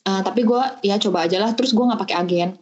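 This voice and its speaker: A woman's voice: medium pitch, fast talker, darkish tone. Chatty young woman on a dull lo-fi call. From Bandung, Indonesia. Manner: chatty, explains step by step with many hesitations. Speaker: podcast guest, au pair. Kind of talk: casual chat.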